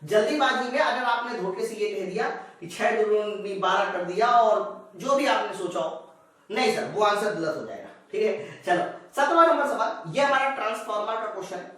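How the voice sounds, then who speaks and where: A man's voice: high voice, moderate pace, fairly bright tone. A man teaching an exam class conversationally, asking questions and answering them himself while addressing students directly. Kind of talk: live classroom-style lesson, working through physics problems. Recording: very echoey room, distant microphone.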